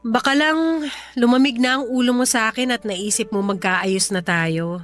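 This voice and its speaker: A woman's voice: on the high side, moderate pace, fairly bright tone, faint music behind, natural voice. An actress playing a clingy young mother in a radio drama, pleading insistently with her former partner.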